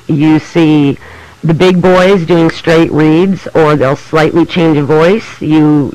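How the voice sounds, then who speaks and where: A man's voice: on the high side, no room sound, rough recording. A man speaking as a podcaster on a panel, explaining things informally.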